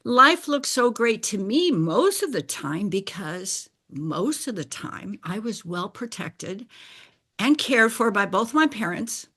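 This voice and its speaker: An older woman whose first language is Bulgarian, telling a personal story in a deliberate, explanatory way and clearing her throat now and then. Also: medium pitch, sing-song, sharp tone. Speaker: speaker sharing a personal story. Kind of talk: slow scripted personal narration.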